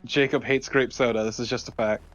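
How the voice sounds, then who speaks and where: A man's voice: medium pitch, steady tone, fairly quick, a bit bright, muffled recording. A man co-commentating on a video game in a casual, joking, exaggerating way. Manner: joking, hyperbolic ranting. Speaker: let's play co-commentator.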